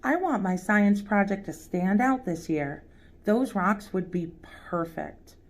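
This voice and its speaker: A woman's voice: mid-range voice, animated, slow pace. A woman voicing a curious, imaginative young boy who loves science, in an earnest storybook read-aloud. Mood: amazed.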